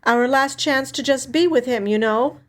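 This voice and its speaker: Woman voicing a high-pitched, grieving older sister. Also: moderate pace, close to an everyday voice. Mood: sad, apologetic. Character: grieving older sister, dutiful and gently self-sacrificing. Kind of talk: audiobook character dialogue.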